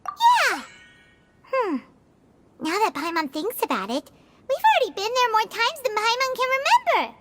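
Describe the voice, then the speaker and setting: Tiny childlike game mascot: piercingly bright, squeaky, hugely animated, eager. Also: female voice, moderate pace, long pauses, slight background noise, full character voice. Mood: excited. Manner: refers to self in third person. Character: Paimon, tiny excitable childlike female companion creature. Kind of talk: anime game voice acting.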